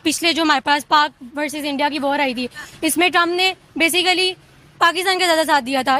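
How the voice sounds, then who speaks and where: Fast, bright-voiced opinionated Pakistani woman. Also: high-pitched. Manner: opinionated, mixes in English words. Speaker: interviewee. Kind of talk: off-the-cuff opinion in street interview.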